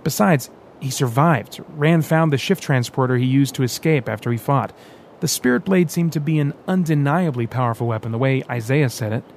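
Man doing a calm troubled leader guy, soft reflective tone, mid pitch, audiobook story. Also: a little fast, vocal fry, slight background noise, close to an everyday voice. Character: thoughtful male rebel leader, troubled.

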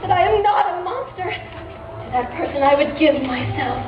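A woman's voice: extremely high pitch, lively intonation, moderate pace, lo-fi sound, noisy background. A woman playing a seductive, unhinged half-weasel villain queen in a comedic radio play, with a coy, theatrical delivery. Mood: melodramatic, yearning. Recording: roomy, distant, with prominent music behind.

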